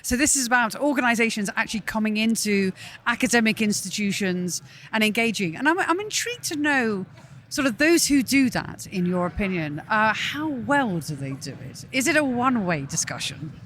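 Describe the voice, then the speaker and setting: A woman's voice: a little high, lively intonation, moderate pace, slight background noise. A woman hosting a live conference podcast, effusive and full of praise as she introduces and questions her guests. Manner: warm, effusive and gushing with praise. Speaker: podcast host.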